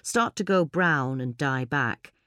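A woman's voice: sits low, expressive, slow pace, a bit bright, no room sound, clean studio sound. A woman presenting a gardening guide as scripted instructional narration.

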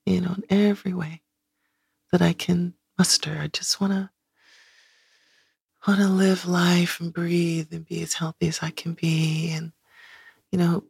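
A woman's voice: on the low side, little pitch movement, measured pace, creaky, pauses often, a little mellow, slightly rough, dry recording. A woman in her sixties, a guest in an interview, talking reflectively and searching for words with many "you know"s. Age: 60s. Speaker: guest, singer.

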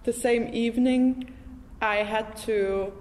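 A woman's voice: on the high side, fairly even intonation, slow pace, darkish tone. A woman hosting a casual, reflective interview, thinking aloud in an informal, enthusiastic way. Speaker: interviewer, podcast host. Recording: noisy, reverberant hall recording.

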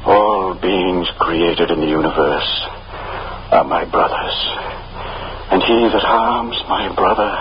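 An actor playing a gaunt old prophet in a radio drama, solemn and gentle, speaking in measured, preacher-like pronouncements. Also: male voice, low voice. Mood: fervent, visionary. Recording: muffled, noisy vintage radio recording.

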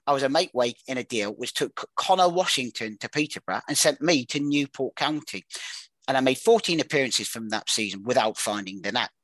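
A man hosting a football quiz, reading career clues in a brisk, matter-of-fact way with dry asides. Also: mid-range voice, fairly bright tone, no echo, poor audio. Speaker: quiz host.

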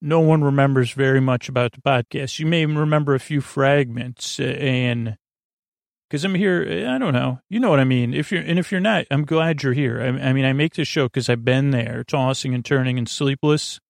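Man, heavy vocal fry, mid-pitched, podcast host, rambling bedtime monologue, halting, trails off mid-thought. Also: no room sound, studio quality. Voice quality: creaky, gravelly.

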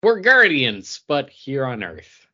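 Animated man chatting on a lo-fi podcast. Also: mid-range voice, slow. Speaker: podcast co-host. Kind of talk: casual chat with personal anecdotes. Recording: dull, lo-fi podcast sound.

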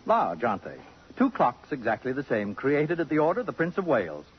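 An actor playing a waspish, cultured art collector with affected, precise, sardonic speech in a 1950s radio drama. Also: male voice, mid-range voice, huge pitch swings, a little fast, slightly rough. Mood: haughty. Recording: muffled 1950s radio broadcast.

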